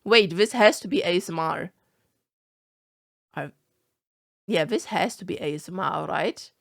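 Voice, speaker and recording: A woman's voice: lowish voice, expressive, measured pace, gravelly, no room sound, studio quality. A young woman with a German accent reacting to a video in casual commentary, incredulous and swearing often.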